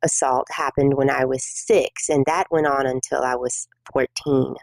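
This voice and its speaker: A middle-aged woman from South Korea, a native Korean speaker, sharing her personal testimony as a guest in an interview, speaking softly with many pauses. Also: a bass voice, steady tone, vocal fry, dry recording, rough recording. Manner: soft-spoken and measured, many pauses and fillers. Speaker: guest minister.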